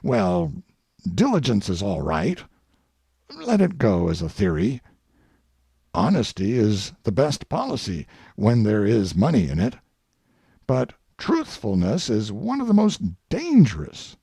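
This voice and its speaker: A man plays a wry, pleased-with-himself humorist at the banquet lectern, in an audiobook reading. His gravelly, mellow voice takes its time, pausing for oratorical effect and lifting and dropping for droll emphasis. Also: lowish voice, huge pitch swings, no echo, clean studio sound, exaggerated voice. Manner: droll, deliberate, oratorical pauses. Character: wry, self-satisfied male humorist giving a banquet speech. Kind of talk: after-dinner speech reading.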